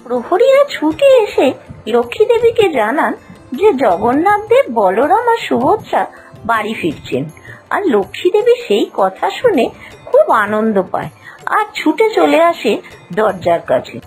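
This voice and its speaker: A high-voiced woman tells a children's story. Her pitch swings very widely in a dramatic, scripted narration. Soft music plays behind her. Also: huge pitch swings, measured pace, low-quality recording.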